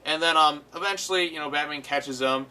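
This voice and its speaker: A man's voice: a little high, fairly quick, sharp tone. A man giving a casual, unscripted episode review as host, retelling it animatedly with frequent swearing. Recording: clear background noise.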